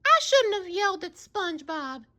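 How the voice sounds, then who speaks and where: A man voicing a spirited, quick-tempered female cartoon squirrel, exclaiming urgently in a storybook read-aloud. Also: very high voice, big pitch swings, very noisy, voice pushed up. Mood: remorseful.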